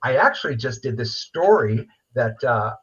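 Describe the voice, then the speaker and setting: A man's voice: mid-range voice, expressive, moderate pace, fairly bright tone, slightly rough. A middle-aged man chatting casually and enthusiastically as an interview guest, rambling a little over a video call. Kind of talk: casual chat. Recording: dull, lo-fi video call audio.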